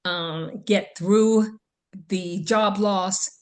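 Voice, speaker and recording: Slow, thoughtful woman podcast host. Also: medium pitch, steady tone. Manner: slow, thoughtful, hesitant with many ums. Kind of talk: casual chat.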